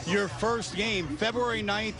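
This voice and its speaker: A man's voice: sits high, steady tone, unhurried. A man hosting a sports broadcast with a polished, projected announcer delivery. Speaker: sports host. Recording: music behind, outdoor broadcast. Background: music.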